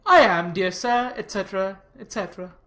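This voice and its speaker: An actress reading as a pompous, moralizing letter writer in a period audiobook drama, with drawn-out emphasis. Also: female voice, medium pitch, fairly bright tone, soft background music, close to an everyday voice. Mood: sanctimonious.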